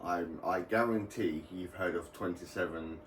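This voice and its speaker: British man, low pitch, casual vlog explainer, rambling informal delivery, distant mic, faint background noise. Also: measured pace, echoey room. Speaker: radio hobbyist video host.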